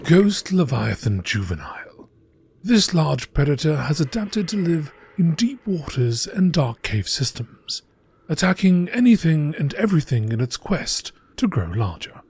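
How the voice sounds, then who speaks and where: A man reading scripted text aloud in a measured nature documentary style, as an amateur narrator. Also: a little high, very animated, darkish tone, husky.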